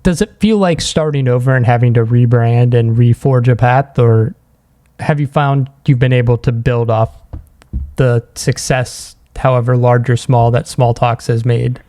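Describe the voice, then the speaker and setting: A man's voice: mid-range voice, fairly even intonation, unhurried, mellow. A man hosting a podcast, asking questions in a casual conversational interview style.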